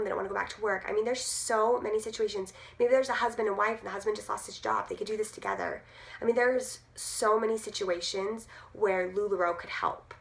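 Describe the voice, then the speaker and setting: A woman in her thirties, hoarse from losing her voice, chatting casually to camera with many fillers. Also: medium pitch. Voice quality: gruff. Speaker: direct sales team leader.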